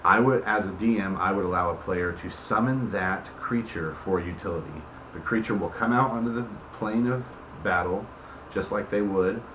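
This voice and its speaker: Low voiced guy casually explaining rules as a dm, checks ur following, echoey room w some noise. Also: normal speed. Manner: informal, checks listeners follow with tag questions. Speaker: host explaining house rules as dungeon master. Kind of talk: casual explanatory talk to camera.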